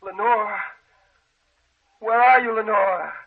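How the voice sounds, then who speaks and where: A man's voice: high-pitched, takes it slowly, husky, exaggerated voice. A male actor playing a distraught, obsessed husband in an impassioned, melodramatic 1940s radio horror style. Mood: desperate, calling out. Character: distraught obsessed husband chasing his dead wife. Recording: noisy, dull old radio recording.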